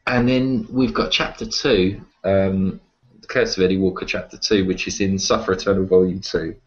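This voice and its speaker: A man being interviewed, talking casually and reflectively about his writing, with hesitant pauses. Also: low voice, steady tone, lo-fi sound. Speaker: interview guest, horror writer and publisher.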